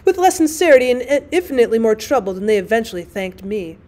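A weary, tart wife from a classic storybook, read by a woman. Her fairly high voice carries wry, formal phrasing and practical impatience. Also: sing-song, fast talker, darkish tone.

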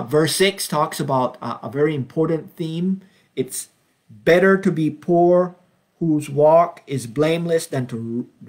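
Earnest man from Belize in his 50s. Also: a little high. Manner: earnest, unhurried, frequent ums and restarts, slows when reading verses. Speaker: Bible study leader. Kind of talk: informal Bible study talk. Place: home study with bookshelves. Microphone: webcam, video call framing.

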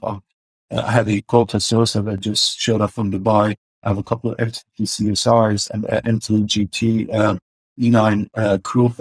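A man's voice: on the low side, breathy edge, dry recording, low-quality recording. A man in his forties with a mixed Iranian and Swedish accent, speaking hesitantly with many fillers on a video call interview. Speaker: car filmmaker guest. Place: home room indoors. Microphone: video call webcam.

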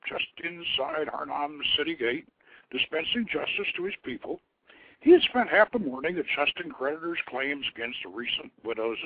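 Man, formal dramatic narration, very animated intonation, rough-edged voice. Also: fairly high pitch, dry recording. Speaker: radio show host and author. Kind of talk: dramatic storytelling, reading his own novelette. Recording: muffled, thin phone-like radio line.

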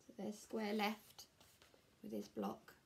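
A woman's voice: medium pitch, big pitch swings, slow. A young woman, a primary school teacher, reading a picture book aloud to young children indoors. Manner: explains words and asks questions. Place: small furnished room at home, indoors.

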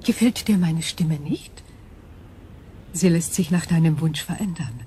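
Sci-fi audio drama role: ancient female-voiced crystal being, measured and formal, dark gentle timbre, faint noise behind. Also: sits low. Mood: detached, explanatory. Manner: measured, formal, precise diction. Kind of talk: German radio drama acting.

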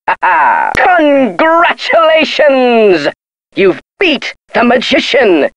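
A woman's voice: medium pitch, fairly bright tone, no echo, lo-fi sound, heavily acted. A woman voicing a mechanical carnival magician in a game, with mocking, theatrical barker patter. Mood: mock congratulation. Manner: mocking, drawn-out showmanship.

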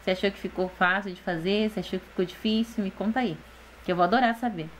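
Uma mulher brasileira na faixa dos vinte anos, com sotaque brasileiro, narrando em off um tutorial de artesanato com paciência e jeito de quem explica. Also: female voice, mid-range voice, steady tone, quick pace, soft background music. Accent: Brazilian Portuguese. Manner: patient, explaining, often adds "gente" and "tá?". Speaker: DIY channel host. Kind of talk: step-by-step craft voice-over.

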